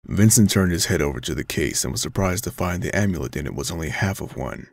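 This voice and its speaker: A man's voice: sits low, animated, quick pace, creaky, dry recording. A man reading scripted story narration as the narrator of an audio drama. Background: music.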